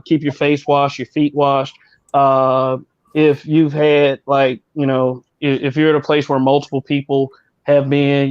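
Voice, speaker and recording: Opinionated man with a higher voice. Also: dry recording, highs cut off. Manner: opinionated, rambling with restarts. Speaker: podcast co-host. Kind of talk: casual chat.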